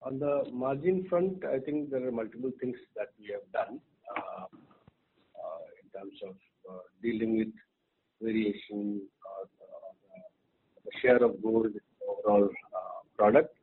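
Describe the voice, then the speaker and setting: Halting low-voiced man, noisy line. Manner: halting, hesitant, careful delivery. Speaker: company executive. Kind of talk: answering questions on an earnings call. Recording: noisy, tinny phone line.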